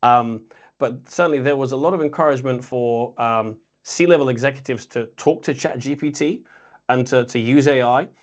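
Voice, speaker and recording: Guy cohosting a casual event recap, mid pitch, kinda rambly and repeats words. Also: normal speed. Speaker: co-host reporting on an industry conference.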